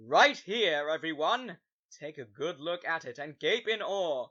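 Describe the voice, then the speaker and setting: A man's voice: fairly high pitch, animated, measured pace, bright, forceful tone, lo-fi sound, exaggerated voice. A man voicing a shifty, cheeky witness with a folksy, evasive, blustering manner in a fan audio drama. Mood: boastful. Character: shifty, cheeky male animal witness on the stand.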